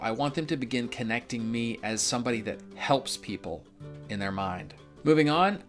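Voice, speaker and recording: A man with a mid-pitched voice talks in a casual, instructional style. He is from Memphis and explains a script conversationally, point by point. Soft guitar music plays faintly behind him. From Memphis, United States. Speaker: real estate investor and instructor.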